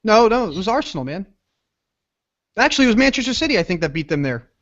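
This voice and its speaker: A man's voice: high-pitched, sing-song, quick pace, a bit bright. A man hosting a casual soccer podcast, talking in a loose, rambling way that trails off. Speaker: podcast host. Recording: dull lo-fi podcast audio.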